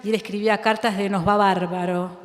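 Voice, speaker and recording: A woman's voice: medium pitch, level tone, fairly quick. A woman writer from Argentina with an Argentine accent, speaking reflectively in a public conversation, thinking aloud with many fillers and restarts and frequent laughter. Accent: Argentine Spanish. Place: school auditorium with audience. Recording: reverberant hall.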